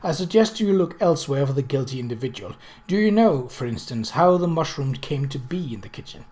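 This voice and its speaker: A man voicing a composed, stubborn young woman in a novel reading, speaking in a clear, firm, factual way. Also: mid-range voice, sing-song, a little fast, darkish tone, natural voice. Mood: firm, probing. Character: young American woman secretary, composed, sharp, firmly stubborn.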